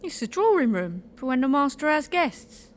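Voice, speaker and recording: An actress playing a plain-spoken, cheeky but dutiful manor housemaid in an audio play. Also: female voice, high-pitched, sing-song, normal speed, slight background noise. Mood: wry, matter-of-fact.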